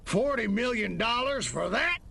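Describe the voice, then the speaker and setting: High, gravelly, sardonic general. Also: male voice, expressive, measured pace, sharp tone, exaggerated voice. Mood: mocking. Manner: sneering, defiant. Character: combative, sardonic, stubborn male army general. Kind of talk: video game cutscene acting.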